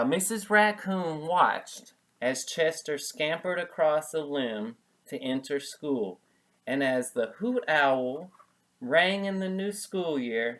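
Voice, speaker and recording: A man's voice: mid-range voice, a bit bright. A man reading a picture book aloud as a preschool teacher, explaining things simply and repeating key words for young children.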